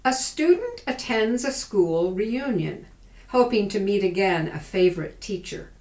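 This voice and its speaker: A woman's voice: medium pitch, sing-song, unhurried, a little mellow. A woman announcer reading a scripted introduction. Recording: echoey hall.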